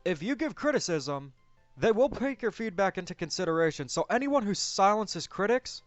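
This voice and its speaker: Higher-voiced man, dull dry recording. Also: sing-song, moderate pace, no room sound. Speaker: host of a movie discussion. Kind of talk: casual review discussion. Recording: dull lo-fi audio, music bed. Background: music.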